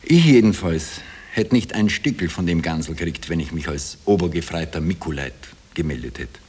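Low-voiced Austrian ex-soldier confessing. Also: male voice, lively intonation, moderate pace, darkish tone, breathy edge. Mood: earnest recounting. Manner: colloquial dialect storytelling, broken into short phrases. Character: haunted Austrian ex-corporal confessing his wartime imposture. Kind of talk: 1960s radio drama monologue. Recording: hissy old radio tape.

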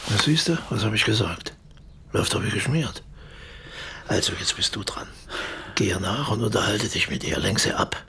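An actor playing a ruthless, pushy male swindler in a radio play, with a gravelly, husky voice. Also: fairly low pitch, expressive, moderate pace, pauses often, sharp tone, slight background noise, full character voice. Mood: urgent, coaxing. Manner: evasive in company, bullying in private.

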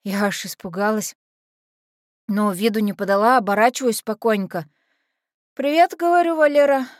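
A woman's voice: mid-range voice, normal speed, no room sound, lightly acted. Casting: adult woman as tough but naive lovestruck orphan teen girl, first-person dramatic monologue, colloquial street slang, confiding delivery, dry studio sound. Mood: excited, anxious. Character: brash lovestruck teenage orphanage girl telling her story.

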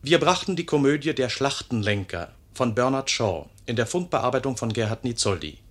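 A man's voice: mid-range voice, lively intonation, moderate pace. A man reading a formal radio announcement in 1950s broadcast style.

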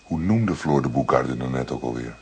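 A man's voice: rumbling low, expressive, normal speed, breathy edge, exaggerated voice. An actor playing a male police colleague of a detective in a Dutch radio drama. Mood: inquiring.

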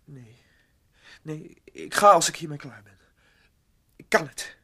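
A man's voice: fairly high pitch, slow, vocal fry, bright, forceful tone, breathy edge, exaggerated voice. An actor in a radio play playing a stubborn, defensive younger man, insistent and evasive. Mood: sullen. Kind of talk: Dutch radio play acting.

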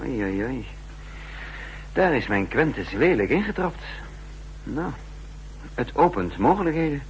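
A man's voice: medium pitch, very animated, a little slow, long pauses, a little hiss or hum, rough recording. A man acting an uneasy spy who tells his own story in a 1960s radio drama, musing and hesitant in a dramatic delivery. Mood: calculating, musing. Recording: old 1960s radio recording with music behind.

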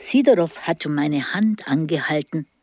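An actress playing an older village widow, hesitant and sighing, in a radio drama. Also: female voice, low voice, animated, normal speed, darkish tone, no room sound, faint music behind. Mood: hesitant, guilty.

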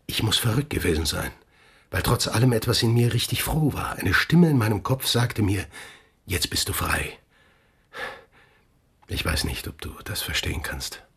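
An actor playing a troubled, remorseful middle-aged father in a radio drama, speaking in a gravelly, breathy hush. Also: on the low side, sing-song, normal speed, pauses often, full character voice. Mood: shaken confession.

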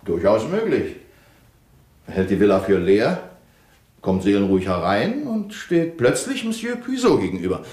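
An actor playing a calm, probing police inspector in a 1970s radio crime drama. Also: male voice, on the low side, moderate pace. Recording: roomy studio sound.

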